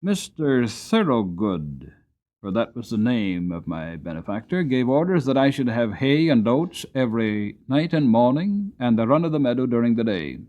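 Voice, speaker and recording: A man narrating an audiobook story in the first person, with a dramatic, gentle storytelling manner. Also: medium pitch, very animated, moderate pace.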